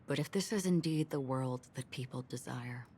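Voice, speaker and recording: An actress voicing a calm, forgetful young swordswoman in a game, speaking quietly and half-whispered. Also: very deep voice, moderate pace, husky, exaggerated voice. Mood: wistful. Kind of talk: game voice acting. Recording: game audio with music underneath.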